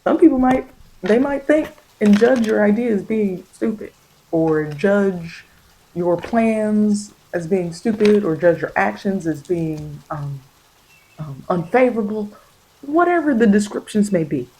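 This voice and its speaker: Soft-spoken woman tarot reader, dark mellow voice. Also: medium pitch, animated, slight background noise, rough recording. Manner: halting, repetitive, reassuring. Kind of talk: soft ASMR tarot reading, spiritual guidance.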